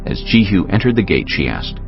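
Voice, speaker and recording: A man's voice: sits low, very animated, brisk, vocal fry. A man narrating a dramatized scripture audiobook in a storytelling, scripted style. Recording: slightly dull audio, music behind. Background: background music.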